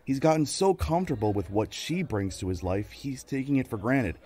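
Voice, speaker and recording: A man's voice: mid-range voice, big pitch swings, moderate pace, warm tone, close to an everyday voice. An actor playing a bemused, wry male accomplice in a comedic audio drama.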